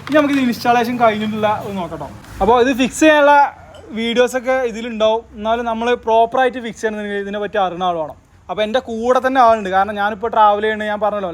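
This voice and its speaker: A man hosting a tech channel, explaining a product unboxing in casual chat and tagging his sentences with a friendly "you know". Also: sits high.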